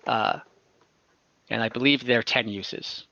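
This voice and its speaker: A man narrating a tabletop fantasy game as game master over a video call, in a halting, improvised way. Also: medium pitch, steady tone, creaky, bright, forceful tone, no echo. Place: indoors at home, on a video call. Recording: slightly dull online call audio.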